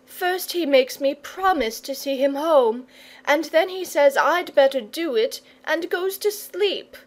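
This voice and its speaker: A worn-out little storybook boy, played by a woman, whining piteously in a high voice. He sounds tearful and plaintive, stretching out his complaints. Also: lively intonation, a little slow. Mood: sobbing, indignant. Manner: piteous, sobbing whine. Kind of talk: children's storybook character.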